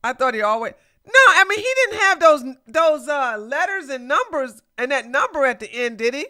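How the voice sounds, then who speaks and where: A woman hosting a casual livestream, chatting with her viewers and reading their messages. She greets them with loud, high exclamations and laughs often as she teases her chat. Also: very animated, moderate pace. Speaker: livestream host.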